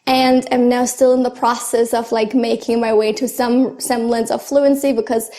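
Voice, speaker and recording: A young woman shares her language-learning advice to camera. Her voice sits high, and she talks in an earnest, chatty way with easy fillers and small laughs. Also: little pitch movement, normal speed, mellow. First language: German. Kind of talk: casual talk to camera.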